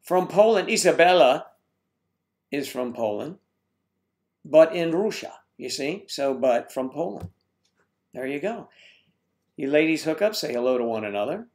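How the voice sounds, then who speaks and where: A man's voice: a little high, expressive, measured pace, pauses often, a little mellow. A man hosting a casual livestream from home, rambling as he greets chat viewers and stumbles over their names.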